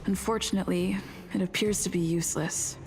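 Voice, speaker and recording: Calm female game companion played by a woman: slightly low voice, faint music. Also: fairly quick, lightly acted. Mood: matter-of-fact. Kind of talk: scripted video game dialogue.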